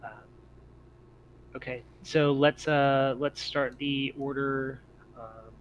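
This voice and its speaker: Guy game mastering online, kinda halting and casual, muffly mic with noise behind. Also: medium pitch, fairly even intonation. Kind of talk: narrating and refereeing an online tabletop game. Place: online voice call. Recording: muffled audio with clear background noise.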